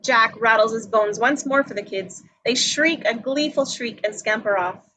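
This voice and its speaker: A woman narrates screen directions at a table read. Her voice is bright and fairly high. Faint noise sits behind the reading. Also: moderate pace, low-quality recording. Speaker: narrator reading action descriptions.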